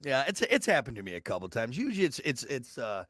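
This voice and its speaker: A young man with a gravelly, scratchy voice, joking his way through casual morning radio banter as host. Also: mid-range voice, no room sound, clean studio sound. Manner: joking, animated, quick to laugh. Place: radio studio.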